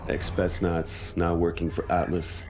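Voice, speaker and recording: An actor playing a grim, weary soldier who narrates a video game story in a measured, understated way. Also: male voice, deep voice, monotone. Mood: grim, reflective. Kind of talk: scripted first-person cutscene narration.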